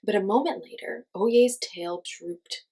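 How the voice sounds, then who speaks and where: A woman reading a children's story aloud as host, in an expressive storytelling style. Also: medium pitch, a little slow, breathy edge.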